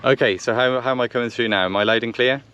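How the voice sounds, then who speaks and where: A man's voice: medium pitch, fast, a bit bright, lo-fi sound. A man presenting a casual outdoor vlog, thinking aloud and hesitating as he chats to camera and over a radio. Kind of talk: casual to-camera commentary and radio check chat. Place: outdoors by a vehicle in the countryside. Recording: noisy outdoor vehicle background.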